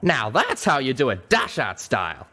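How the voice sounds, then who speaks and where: A man's voice: mid-range voice, wildly swooping pitch, moderate pace, bright, forceful tone, breathy edge, voice pushed up, full character voice. A man voicing a brash, proud cowboy pony, male, with folksy, boastful exclamations, in a story reading. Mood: triumphant.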